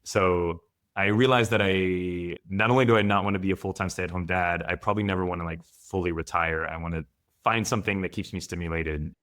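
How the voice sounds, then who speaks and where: Deep-voiced guy from france, thoughtful and candid podcast guest, talks it through. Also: steady tone, fairly quick, a bit bright. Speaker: guest, founder and father. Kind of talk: podcast interview answers.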